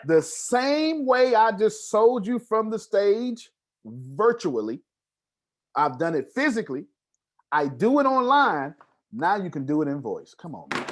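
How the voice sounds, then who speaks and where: A man's voice: high-pitched, very animated, a little slow. A man leading an online group call as a coach, talking informally and emphatically in a motivational style, often checking that listeners follow. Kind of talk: animated motivational coaching talk.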